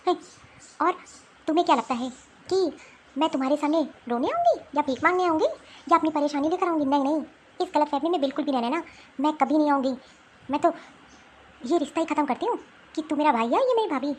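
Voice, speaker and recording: Woman playing a high-pitched scolding woman in a family cartoon. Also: expressive, fast talker, long pauses, slight background noise. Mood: haughty, dismissive. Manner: scolding, indignant. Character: angry, sharp-tongued Indian women quarrelling in a family feud. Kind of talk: Hindi family-drama cartoon dialogue.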